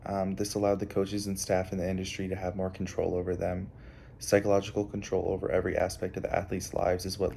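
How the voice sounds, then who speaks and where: A young man giving a prepared analysis as a student presenter, halting often with filled pauses. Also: sits low, creaky, a little mellow, slight background noise. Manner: halting, hesitant delivery with frequent filled pauses.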